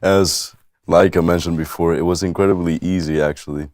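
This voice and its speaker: A man's voice: low voice, fairly even intonation, fairly quick, darkish tone, dry recording, clean studio sound. A young man from Panama, a student guest answering interview questions conversationally and plainly, with some emphasis. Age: young adult.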